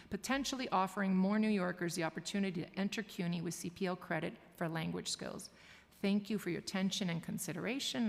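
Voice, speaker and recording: A woman's voice: fairly low pitch, creaky. A woman university administrator reading prepared testimony formally in a council chamber. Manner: formal, read from a statement. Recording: long reverb of a large hall.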